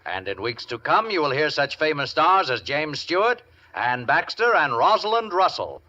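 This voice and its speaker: A man's voice: a little high, sing-song, normal speed, sharp tone. A man introducing a program as a 1950s radio announcer, formal and dramatic. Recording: dull vintage radio broadcast over music.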